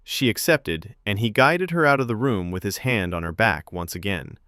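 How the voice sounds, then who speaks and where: Man, low voice, animated intonation, dry close sound, scripted story narration. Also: moderate pace, no echo.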